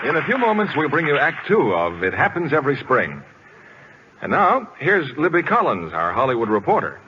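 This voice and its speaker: A man's voice: on the high side, huge pitch swings, normal speed, crowd noise, noisy background. A man announcing in a polished, scripted 1940s radio style. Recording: muffled vintage radio recording.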